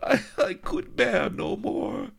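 A man's voice: high-pitched, huge pitch swings, slow pace, vocal fry, a bit bright, slightly rough, pitched above the performer's own voice, exaggerated voice. A man putting on a breathless young noblewoman with a Spanish-tinged accent. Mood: distraught. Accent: put-on Spanish-flavoured broken English. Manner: breathless, pleading, melodramatic.

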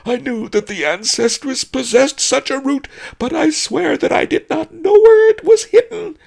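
A man's voice: very high voice, normal speed, gravelly, a little mellow, voice pushed up. A man voicing a crooked, cowardly, blustering pawnbroker in an audiobook. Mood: terrified, wailing. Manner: snarling, blustering, wailing when frightened.

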